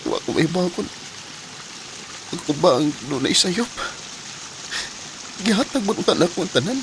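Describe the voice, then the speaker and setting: Hesitant young Filipino boyfriend, noisy recording. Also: male voice, high-pitched, animated, moderate pace. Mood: troubled. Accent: Filipino, Cebuano. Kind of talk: radio drama acting. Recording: clearly noisy radio drama track.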